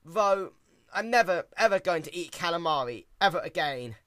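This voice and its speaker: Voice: medium pitch, animated, normal speed, a bit bright, no room sound, clean studio sound, voice pushed up, close to an everyday voice. A performer voicing a nervous, apologetic young male pony who stutters and hesitates in a storybook reading. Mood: sheepish, fearful.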